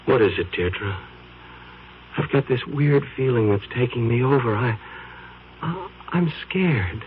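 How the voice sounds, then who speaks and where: A frightened photographer, caught in something he cannot escape, acted by a man in an old radio play. He speaks slowly and haltingly with a plain middle-pitched voice. The recording is dull like a 1970s broadcast. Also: animated, a little hiss or hum, close to an everyday voice. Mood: nervous. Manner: anxious, halting, breaks into panic. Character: obsessed frightened male photographer. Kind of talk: 1970s radio drama acting.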